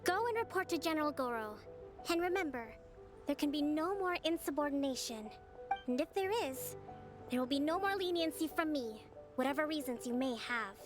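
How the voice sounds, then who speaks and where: An actress playing a gentle, dignified young priestess and military leader in a video game, speaking in formal, measured phrases. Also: female voice, high-pitched, expressive. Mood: firm, authoritative. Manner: formal, measured, composed diction. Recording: game music behind the voice.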